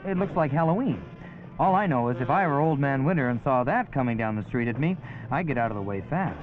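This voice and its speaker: A man reading a wry, lightly humorous documentary narration as a voice-over. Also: medium pitch. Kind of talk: scripted narration. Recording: tinny old 1981 film soundtrack with music.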